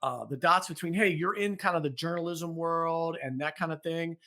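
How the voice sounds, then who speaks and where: A man hosting a podcast interview, energetic and emphatic, with quick interjections. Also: on the high side. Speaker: podcast host. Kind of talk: conversational interview.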